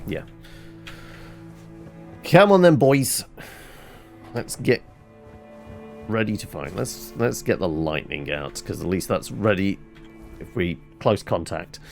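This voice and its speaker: A man gives loose, off-the-cuff game commentary in a lively, swooping voice. He rambles as if talking to himself, and music plays behind him. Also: low voice, takes it slowly, vocal fry, pauses often. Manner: rambling, thinking aloud to himself. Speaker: gameplay streamer. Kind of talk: casual video game commentary.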